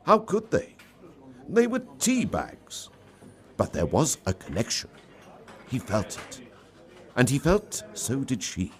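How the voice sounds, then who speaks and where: A man reading a story aloud in an expressive, theatrical storybook style. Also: medium pitch, slow, pauses often. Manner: theatrical, dramatic delivery. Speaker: story reader.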